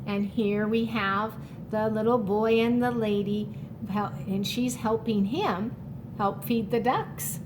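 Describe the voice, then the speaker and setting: A woman reading a picture book aloud to young children, pausing to explain it simply and speaking to the listener in an encouraging way. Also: medium pitch, fairly even intonation, background noise. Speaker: storytime reader for children.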